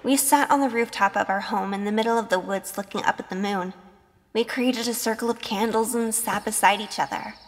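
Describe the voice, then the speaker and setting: A woman's voice: mid-range voice, a little fast, a little hiss or hum, natural voice. A woman playing an earnest young schoolgirl witch who narrates and speaks in an audio drama. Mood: wistful. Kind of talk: first-person audio drama narration and dialogue.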